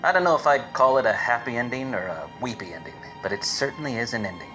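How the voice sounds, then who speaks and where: An actor playing a wry, obtuse 1940s private detective in melodramatic, deadpan radio-play style. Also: male voice, medium pitch, fast, faint music behind, lightly acted, poor audio. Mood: wry, resigned. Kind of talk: 1940s radio detective acting with hard-boiled narration.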